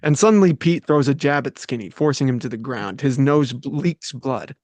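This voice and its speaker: A man's voice: mid-range voice, normal speed, a little mellow, no echo. A man reading scripted stage directions aloud as the narrator of a table read.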